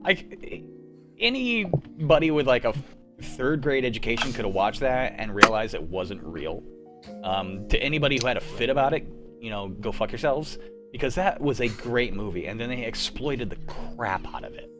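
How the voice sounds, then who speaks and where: A man's voice: mid-range voice, sing-song, normal speed, music in the background. A young man hosting a livestream, chatting casually and rambling with lots of fillers. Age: young adult. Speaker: show organizer and host. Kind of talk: casual wrap-up chat on a livestream.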